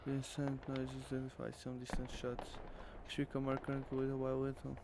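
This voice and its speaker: A man reads story narration aloud in a gravelly, mid-pitched voice. His delivery is halting and stumbles over words, like an amateur storyteller. There is faint noise behind him. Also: completely flat delivery. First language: Portuguese. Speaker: streamer reading a visual novel aloud. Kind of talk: amateur dramatic read-aloud of visual novel narration.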